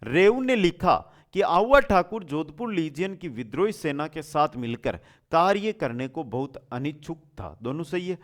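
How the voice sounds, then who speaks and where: Animated, high-pitched male coaching teacher. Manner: rhetorical questions, repeats answers, familiar address to students. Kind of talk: question-and-answer history lesson.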